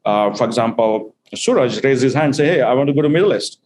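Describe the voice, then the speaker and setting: A man explains his company's work in a business interview, fluent but full of fillers as he lays out each point. His voice sits in an ordinary middle pitch. A faint hum of room noise runs behind him. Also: animated, fairly quick, a little mellow. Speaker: company executive guest.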